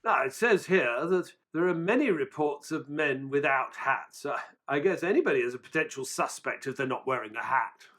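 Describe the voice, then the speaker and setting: Courteous period doctor, detective's sidekick, slightly high voice, lively intonation, mild, audio drama storyteller. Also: male voice, moderate pace, few pauses. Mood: earnest. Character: affable, polite Victorian doctor, detective's companion, narrating. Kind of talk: audio drama narration and dialogue.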